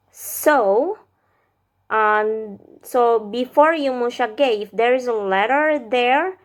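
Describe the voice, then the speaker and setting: A woman in her thirties with an Indonesian accent, a vlogger musing aloud about learning a language, recorded at arm's length in a small room. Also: on the high side. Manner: slow, thoughtful, musing aloud with hesitations. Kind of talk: casual language-learning vlog. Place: small indoor room with lamps behind. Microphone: camera or phone at arm's length.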